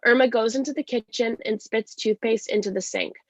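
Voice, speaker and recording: A woman's voice: fairly high pitch, brisk, dry recording, muffled recording. A woman giving scripted narration, reading stage directions at a play reading.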